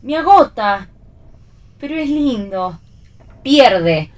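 A woman's voice: a little high, very animated, extremely slow, far from the mic, full character voice. A woman with an Argentine accent playing a flippant, theatrical young bohemian actress in a radio play reading, teasing and dramatic. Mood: languid, playful.

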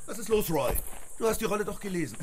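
A bullying film director in a radio play, yelling orders in a very high, wildly swinging voice. He is heard from off-mic, with loud noise all around him. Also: big pitch swings, fast. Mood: furious. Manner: yelling, bossy. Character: hot-tempered male film director, bullying.

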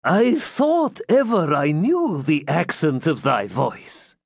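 A man's voice: high-pitched, very animated, measured pace, dry recording, clean studio sound, voice pushed up, exaggerated voice. A man voicing an anxious old male moneylender in a storybook, lamenting and fawning in archaic speech. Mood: wondering recognition.

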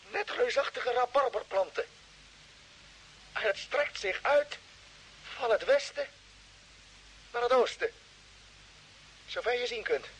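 A man playing an excited, awestruck Mars expedition commander in a vintage radio drama, repeating words urgently over a radio link. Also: very high-pitched, slight background noise, exaggerated voice, low-quality recording.

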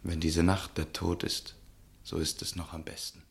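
An actor playing an anxious, resigned nobleman and husband, speaking in earnest, measured, formal German radio drama style. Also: low voice, flat delivery, gravelly. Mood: gloomy, resigned. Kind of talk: German radio play acting.

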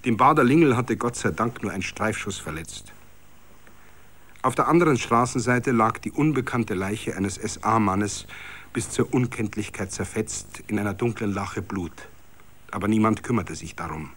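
A man's voice: fairly low pitch, normal speed, a bit bright, slight background noise. A man with a Bavarian accent reads a literary story as dramatic scripted narration.

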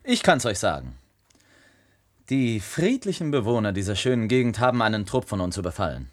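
An actor playing a grim, self-righteous expedition man, speaking gravely in a science-fiction radio play. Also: fairly low pitch, big pitch swings, brisk.

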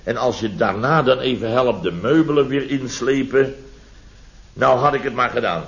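A man's voice: medium pitch, lightly acted. An actor as a flustered male contractor spins tales of domestic chaos in an old radio comedy. His mid-range voice halts, repeats itself and keeps tagging questions onto statements. The sound is dull and dated. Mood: rueful. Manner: halting, repetitive storytelling with frequent tag questions. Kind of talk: 1970s radio comedy acting. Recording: dull vintage radio recording.

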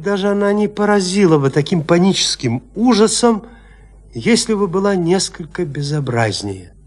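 A man narrating a literary audio drama with measured, suspenseful, theatrical phrasing. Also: high-pitched.

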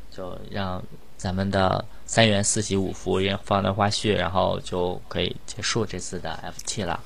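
A man's voice: low-pitched, level tone, normal speed, gravelly, slight background noise. A man hosting a casual group chat in an informal, rambling way, often repeating his phrases. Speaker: host of a cast chat session for an audio drama. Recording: music playing behind the voice. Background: background music.

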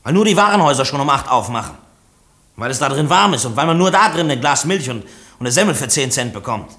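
A destitute, jobless outcast in a radio drama. His voice sits at middle pitch and swings widely, with a bitter, defiant, desperate edge. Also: animated, fast, bright, forceful tone. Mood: desperate outburst. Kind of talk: German radio play acting.

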